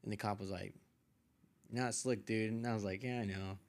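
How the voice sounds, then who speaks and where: A man's voice: on the low side, fairly even intonation, moderate pace. A man chatting informally as a podcast guest, telling personal stories in a slangy, meandering way. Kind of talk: casual personal storytelling.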